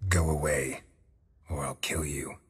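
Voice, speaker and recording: A man playing a gruff, middle-aged monster-hunting mercenary in a video game, terse and dry, with a gravelly voice. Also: rumbling low, level tone, unhurried, full character voice. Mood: cold menace. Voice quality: gravelly, husky. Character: gruff stoic male monster-hunting mercenary, weary and menacing.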